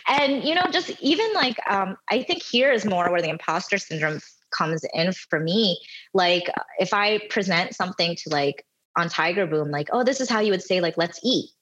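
A woman in her thirties speaks as a podcast guest with a somewhat low, husky voice. She talks in a loose, chatty way, full of fillers and self-deprecating asides. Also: lively intonation, normal speed, fairly bright tone, dull audio. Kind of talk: casual conversational storytelling.

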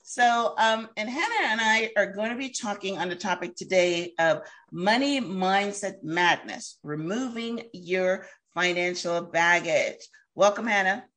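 A woman hosting a casual interview, enthusiastic and quick to laugh, with plenty of fillers. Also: mid-range voice, a bit bright. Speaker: host.

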